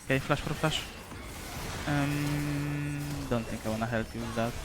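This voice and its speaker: A man's voice: fairly high pitch, fairly even intonation, measured pace, over music, low-quality recording. Laid-back young man from Poland. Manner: laid-back, chatty, swears casually, chuckles often. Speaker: streamer. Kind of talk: casual live gameplay commentary.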